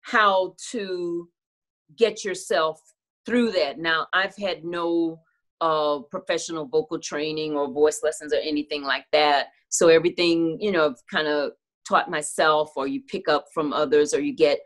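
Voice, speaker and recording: A woman's voice: sits low, steady tone, unhurried, a bit bright. A middle-aged woman, a singer, talking candidly and reflectively in a casual video-call interview from a room at home. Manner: candid, reflective, laughs easily. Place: home room with clothing rack. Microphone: video call webcam.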